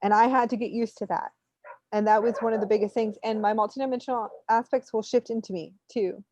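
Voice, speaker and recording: A woman giving an unscripted spiritual reading, talking in an animated, informal, rambling way as she coaches. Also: on the high side, quick pace, slight background noise. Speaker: spiritual reader hosting a live readings session. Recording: muffled, roomy, lo-fi online stream.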